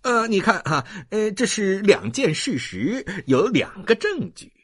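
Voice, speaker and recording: A narrator acts out a sly male swindler from an audiobook novel, husky and swooping wildly in pitch. He wheedles and insinuates, stalling with drawn-out hesitations. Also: very animated. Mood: insistent, wheedling. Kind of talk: audiobook character acting.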